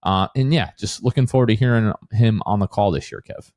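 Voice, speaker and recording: American guy hosting a sports podcast, kinda low voice, just chatting casually. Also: a little fast, a little mellow.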